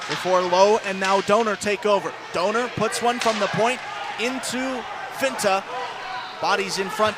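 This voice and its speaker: A man's voice: sits high, noisy background. A man calling live ice hockey on the radio as play-by-play announcer, in flowing descriptive calls that build on big plays.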